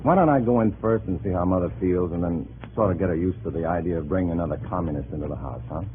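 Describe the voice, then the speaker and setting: A man's voice: deep voice, fast, natural voice. An actor playing a troubled undercover man pleading with his family in a 1950s radio drama. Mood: uneasy, pleading. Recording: tinny, noisy old radio recording.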